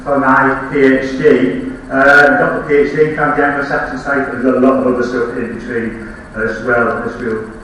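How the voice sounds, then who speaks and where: A man's voice: medium pitch, flat delivery, normal speed, a bit bright, slight background noise. A man with a Yorkshire accent giving a rambling lecture on his slides, with wry asides and frequent restarts, recorded from a distance in a lecture hall. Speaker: archaeology lecturer. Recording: very echoey hall, speaker off-mic.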